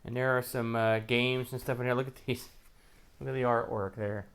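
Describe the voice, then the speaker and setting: An American man with a noticeably creaky, gravelly voice at an ordinary male pitch hosts a casual unboxing. He rambles along, thinking out loud as he sorts through items and comments on them. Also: flat delivery, moderate pace. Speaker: host of a retro computing show.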